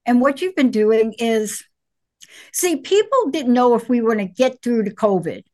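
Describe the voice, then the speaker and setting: A middle-aged woman, a psychologist, co-hosting a call-in show in a playful, bubbly way with rapid bursts of talk. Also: on the high side, lively intonation, a little mellow. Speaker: co-host, psychologist. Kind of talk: call-in radio show chat.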